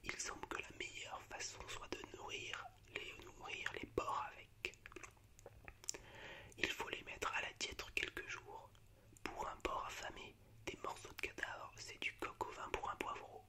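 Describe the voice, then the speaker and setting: Whispering man, ASMR style. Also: unhurried. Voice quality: unvoiced, breathy whisper. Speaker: ASMR video host. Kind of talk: whispered ASMR, reciting film monologues.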